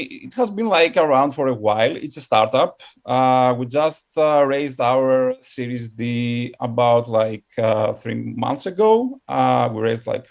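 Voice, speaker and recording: Greek-accented man with a creaky voice. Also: medium pitch. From Greece. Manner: hesitant, halting delivery with constant "like" and "um". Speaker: guest speaker, tech company product professional. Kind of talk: informal meetup presentation.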